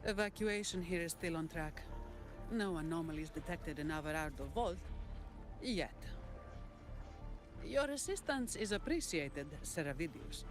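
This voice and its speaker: Low-voiced, dutiful woman officer. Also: lively intonation, measured pace, pauses often, over music. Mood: composed. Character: composed, dutiful woman directing an evacuation. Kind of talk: video game voice acting.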